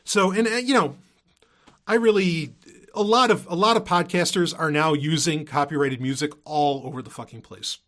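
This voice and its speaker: A man's voice: a little high, normal speed, a bit bright. A man hosting a podcast in a casual, unscripted monologue, often interrupting himself and drifting into asides. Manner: rambling, self-interrupting, with frequent hedging asides. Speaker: podcast host.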